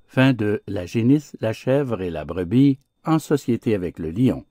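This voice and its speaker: Deep, expressive male fable narrator. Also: measured pace, no echo, studio quality. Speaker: audiobook narrator. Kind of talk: theatrical scripted fable narration.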